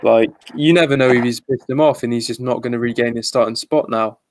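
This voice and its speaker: A man's voice: medium pitch, fairly even intonation, brisk. A man co-hosting a fantasy football podcast in casual chat, speaking bluntly and swearing freely.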